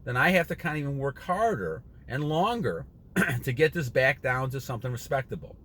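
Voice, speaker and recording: A man in his sixties giving a casual motivational monologue to his viewers, rambling and earnest, often repeating himself and clearing his throat. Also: medium pitch, lively intonation, brisk, a bit bright, a little hiss or hum. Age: 60s. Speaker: channel host.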